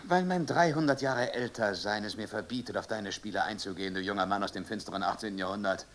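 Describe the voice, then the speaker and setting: A man's voice: lowish voice, fast talker, fairly bright tone, slight background noise, close to an everyday voice. An actor playing an earnest, idealistic frogman from the future in a German radio play, with a declamatory delivery. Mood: moralizing. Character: earnest frogman from a morally superior future society.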